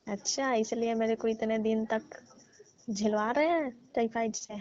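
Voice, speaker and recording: A woman's voice: on the high side, steady tone, a little slow, darkish tone, no echo. A young woman on a staged comic phone call, teasing and coaxing the man she calls uncle, playing a patient. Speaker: caller posing as patient. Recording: muffled phone call recording.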